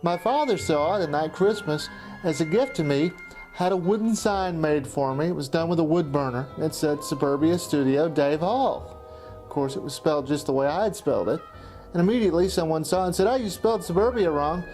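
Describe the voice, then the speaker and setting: A man with a fairly high voice narrates a personal documentary looking back on his amateur filmmaking. His delivery is scripted storytelling, with music clearly playing behind him. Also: brisk, darkish tone.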